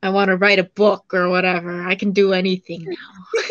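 A young woman artist talking quickly and excitedly on a video call, often breaking into giggles. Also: medium pitch, dry recording. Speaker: featured artist. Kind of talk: casual video-call chat. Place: home room indoors. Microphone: laptop webcam mic on video call. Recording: dull dry webcam audio.

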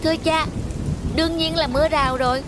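A woman's voice: high-pitched, steady tone, a little fast, heavy background noise, lightly acted. A woman voicing an outspoken, naive little village schoolboy in cartoon dialogue. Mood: defensive. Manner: blunt, argumentative.

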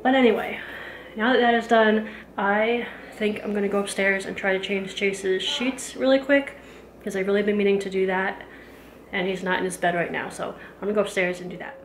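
A woman in her thirties talks to her handheld camera in a roomy kitchen, chatty and rambling. Her pitch sits mid-range, and a faint household hum runs underneath. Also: fairly even intonation, a little fast. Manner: chatty, rambling with many fillers. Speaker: home vlogger. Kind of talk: casual cleaning vlog narration. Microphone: handheld camera at arm's length.

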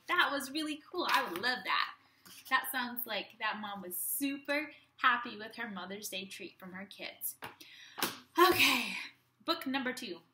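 A young woman teacher reading a storybook aloud to young children in an animated, expressive way, speaking to them directly. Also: sits high, noisy background. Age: young adult. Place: small room at home. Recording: roomy, echoey home webcam sound.

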